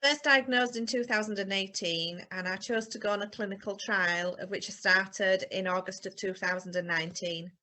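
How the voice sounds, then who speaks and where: A woman's voice: mid-range voice, fairly even intonation, moderate pace, hardly any pauses, pushed and bright. A woman, giving a personal account as a patient. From West Yorkshire, England. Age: 50s. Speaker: patient sharing experience. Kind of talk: personal testimony.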